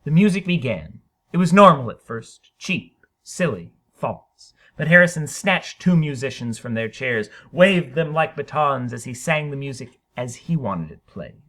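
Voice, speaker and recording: Male narrator for dramatic short fiction: bright, forceful, widely varied pitch, emphatic phrasing. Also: sing-song. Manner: theatrical, emphatic, deliberate phrasing. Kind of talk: dramatic short story reading.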